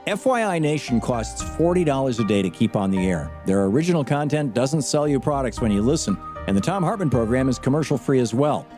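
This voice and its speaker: Male radio announcer, lively swooping delivery, mid pitch, scripted promo with music behind. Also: fairly quick, few pauses.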